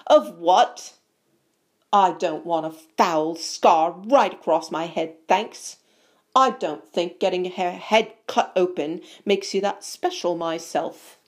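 A smug schoolboy bully acted out by a woman reader, scathing and loud. He drawls his contempt with a sneer, every bit the storybook villain. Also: on the low side, slow pace.

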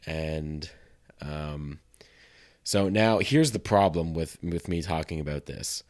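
A hoarse man with a sore throat, hosting a podcast in a casual, self-deprecating ramble full of fillers. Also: a bass voice, slow pace, a little mellow. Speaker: podcast host.

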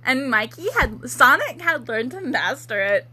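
Bright, slow-talking woman, halting and giggly. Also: high-pitched, big pitch swings, husky. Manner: giggly, halting, breaking off while reading. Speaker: co-host reading a story aloud. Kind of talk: casual chat and amateur read-aloud. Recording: faintly noisy home recording.

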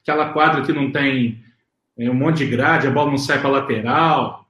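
A man's voice: mid-range voice, normal speed, a bit bright. A man with a Brazilian Portuguese accent, a co-host on a football live stream, blunt and opinionated with plenty of slang. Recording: roomy echo.

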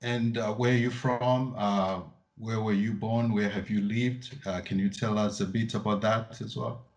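A man's voice: fairly low pitch, steady tone, a little slow, lo-fi sound. A man from Tanzania hosting a podcast interview, asking questions hesitantly with many filler sounds. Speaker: podcast host. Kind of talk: interview conversation.

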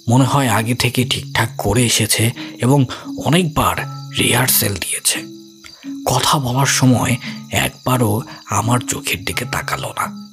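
An actor playing a calm, rational older investigator, narrating an audio story in a measured, explanatory way. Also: male voice, mid-range voice. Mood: measured, attentive. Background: music.

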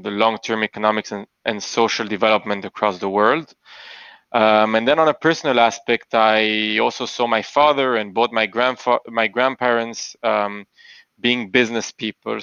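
Man from Belgium, gravelly, bright crisp voice, dull dry recording. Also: fairly low pitch, no echo. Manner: thoughtful, many fillers and self-corrections. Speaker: podcast guest, tech investor. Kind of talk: interview answers.

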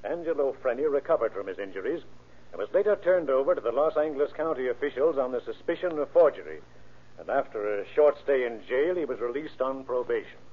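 A man's voice: medium pitch, lively intonation, brisk, slightly rough. A man reading a scripted crime case narration in 1930s radio style. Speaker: police chief narrating a case. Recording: muffled, band-limited old radio recording.

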